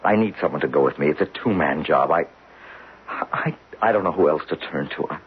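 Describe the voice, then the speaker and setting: An actor playing a desperate, obsessed geologist in an old radio drama, speaking with insistent intensity. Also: male voice, a bass voice, fairly quick, husky, heavily acted. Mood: subdued, determined. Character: obsessed, desperate male geologist urging belief in his discovery. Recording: muffled, hissy old radio recording.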